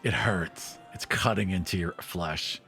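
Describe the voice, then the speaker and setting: Man, game master. Dramatic, hushed storytelling in a lower register, measured breaks between phrases, music behind. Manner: hushed, suspenseful, deliberate pauses. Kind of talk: dramatic tabletop game narration. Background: prominent music.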